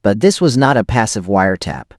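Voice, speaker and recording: Man narrating a thriller, swooping dramatic pitch. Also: sing-song, brisk, a little mellow, dry recording, studio quality. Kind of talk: first-person scripted thriller narration.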